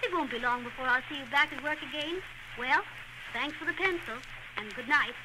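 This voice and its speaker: Lady playing gentle movie star, high voice, really noisy old radio recording. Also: brisk, natural voice. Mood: kind, startled. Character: kind, gracious famous film actress. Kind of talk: 1940s radio acting.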